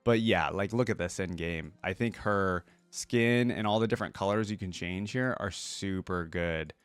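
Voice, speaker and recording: Low-voiced man giving casual reactions. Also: normal speed, smooth, dry recording. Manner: informal, thinking aloud, many likes and you-knows. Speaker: reaction video host. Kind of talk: casual reaction commentary to viewers.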